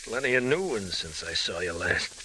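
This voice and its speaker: An actor in an old radio drama playing a wounded, stubborn, gold-obsessed prospector with rough, slangy, insistent talk. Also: male voice, deep voice, expressive, moderate pace, bright, forceful tone, low-quality recording. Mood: bitter, stubborn. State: weak from wounds. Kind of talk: 1940s-50s radio drama acting. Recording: hissy old radio recording.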